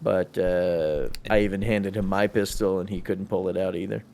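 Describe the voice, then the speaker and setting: A man's voice: low voice, fairly even intonation, moderate pace, creaky, darkish tone, a little hiss or hum. A man chatting casually and swearing now and then while playing a role-play game online. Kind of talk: casual gaming chat during role-play.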